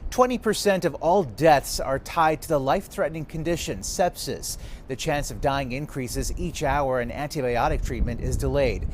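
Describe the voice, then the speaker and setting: A man anchors a newscast. His voice sits at a medium pitch as he reads from a script. A low rumble of noise runs faintly underneath. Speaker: news anchor. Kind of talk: scripted news reading.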